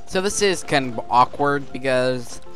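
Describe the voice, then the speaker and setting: Casual male gamer over clear background music. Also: medium pitch, lively intonation, unhurried, fairly bright tone. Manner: informal, rambling. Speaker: gaming video host. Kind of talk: casual let's play commentary. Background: game music.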